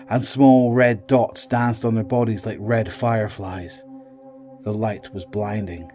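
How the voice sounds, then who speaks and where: A man reading scripted narration for a horror audio drama. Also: medium pitch.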